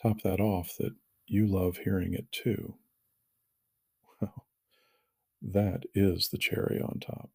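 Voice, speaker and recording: A deep-voiced, devoted male lover, acted by a man in a romantic drama. He talks slowly and suggestively, with a gravelly, teasing edge, recorded close and dry. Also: level tone, darkish tone, no room sound, heavily acted. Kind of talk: intimate romantic role-play dialogue.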